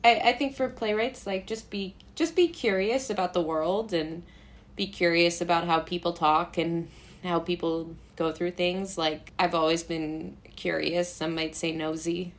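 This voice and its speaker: A woman's voice: fairly low pitch, measured pace. A woman from Brooklyn with an American accent, a playwright chatting casually as an interview guest and acting out remarks as she tells stories. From Brooklyn, United States. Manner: animated storytelling, acting out quoted remarks.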